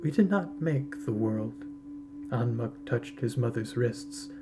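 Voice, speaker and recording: A man reading a literary story aloud as a narrator, in a measured, solemn storytelling manner. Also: mid-range voice, animated, music in the background. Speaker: podcast host reading a short story aloud.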